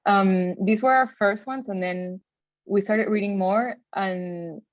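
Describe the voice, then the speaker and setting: A woman from Venezuela with a Venezuelan Spanish accent gives an informal presentation in a halting, conversational way, often correcting herself. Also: medium pitch, fairly even intonation, no echo, lo-fi sound. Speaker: textile designer giving a talk.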